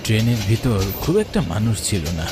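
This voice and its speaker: Over noisy night ambience with crickets, an older village uncle acted by a man spins a cartoon ghost story. His voice sits fairly low, and he tells it as his own suspenseful memory of youth. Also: sing-song, moderate pace. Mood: uneasy, suspenseful. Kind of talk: dramatic ghost story narration.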